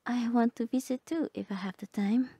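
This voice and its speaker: A woman playing a gentle, lovestruck bard companion in a romantic ASMR roleplay, speaking softly and tenderly, often half whispering. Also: on the high side, steady tone, moderate pace, slightly rough, no echo, clean studio sound. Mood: pleased, tender. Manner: tender, hesitant, polite.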